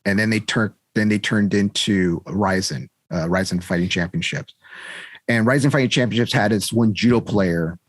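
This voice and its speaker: A man's voice: on the low side, moderate pace, no echo. A man co-hosting a casual podcast, rambling through stories and laughing often. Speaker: podcast co-host. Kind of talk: casual chat.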